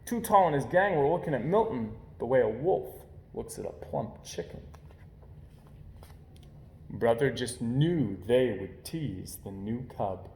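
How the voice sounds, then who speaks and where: Animated man reading a children's story. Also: medium pitch, unhurried. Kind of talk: expressive children's story narration. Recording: roomy, echoing home recording.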